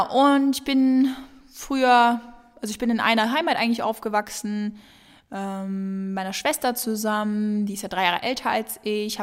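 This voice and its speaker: Chatty young woman in a reverberant room. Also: medium pitch. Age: 20s. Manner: chatty and rambling, with many fillers. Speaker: podcast host. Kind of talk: casual solo podcast Q&A. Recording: long reverb.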